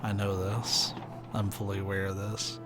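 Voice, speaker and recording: A young man with a soft, soothing voice, chatting casually and rambling while he plays a video game on stream. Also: lowish voice, moderate pace, music in the background. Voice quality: soft, soothing, sleepy-sounding voice. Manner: rambling, laid-back, many "like"s and "uh"s. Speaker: let's play streamer. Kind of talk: casual commentary while gaming. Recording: steady background noise hiss.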